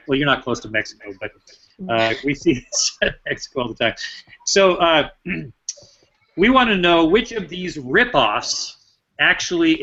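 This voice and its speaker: A man's voice: on the high side, sharp tone. An American man hosting a show, chatting playfully as he runs a quiz with a guest, on a slightly dull recording. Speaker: show host and quizmaster. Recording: dull, band-limited sound.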